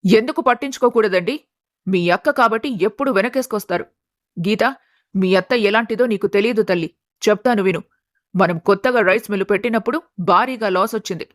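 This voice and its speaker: A woman's voice: mid-range voice, fairly quick, dry recording, studio quality, close to an everyday voice. A woman voicing a blunt, indignant middle-aged wife and mother in a family story. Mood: resentful.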